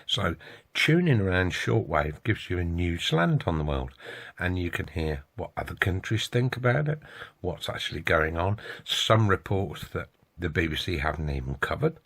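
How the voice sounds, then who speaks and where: Deep-voiced British man talking casually. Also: big pitch swings, normal speed, vocal fry, fairly bright tone. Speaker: radio hobbyist vlogger. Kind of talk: casual opinionated monologue.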